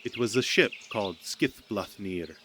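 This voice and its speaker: A man's voice: fairly low pitch, unhurried, bright, forceful tone, a little hiss or hum. A man narrating a myth as a scripted storyteller in an audio drama.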